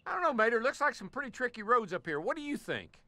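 A man's voice: very high voice, big pitch swings, fast talker, pushed and bright, no room sound, exaggerated voice. A man playing an upbeat, showy race announcer car in a video game, with an American accent and polished delivery. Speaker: race commentator. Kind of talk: sports broadcast commentary in a game.

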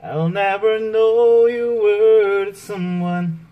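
A man in his thirties from the Philippines, a vlogger singing loudly and playfully through a vase like a megaphone in a large indoor home decor store, filmed on a phone. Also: sits high, extremely slow, muffled recording. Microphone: phone camera, often held at arm's length. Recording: roomy store echo.

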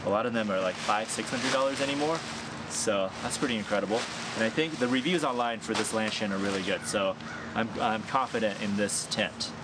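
A man's voice: fairly low pitch, a little fast. A man in his thirties casually talking through his hiking gear in a sunny backyard, thinking aloud with fillers and small laughs. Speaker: hiking vlogger. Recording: outdoor noise behind the voice.

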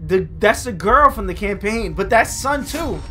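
A man's voice: high-pitched, expressive, normal speed, bright, forceful tone, soft background music. A man in his thirties reacting live and chatting over gameplay, slangy and exclamatory with plenty of swearing. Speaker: gaming streamer. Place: small room at home.

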